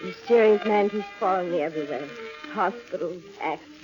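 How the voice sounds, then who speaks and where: An actress playing a frightened, melodramatic wife haunted by dread in a vintage radio suspense drama. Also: female voice, fairly low pitch, fast, music in the background, close to an everyday voice. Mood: anxious dread. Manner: anxious, melodramatic. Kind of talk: 1940s radio suspense acting. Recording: dull old radio recording.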